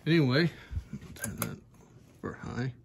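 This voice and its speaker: A man's voice: medium pitch, huge pitch swings, slow pace, vocal fry, a little hiss or hum. A young man hosting a casual fan-collecting video, rambling offhand with many "anyway"s, filmed on a hand-held phone in a rafter-roofed attic. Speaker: ceiling fan collector channel host. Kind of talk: casual fan demo vlog. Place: attic or shed with exposed wooden rafters, indoors. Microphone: phone camera held by hand, pointed up at a fan.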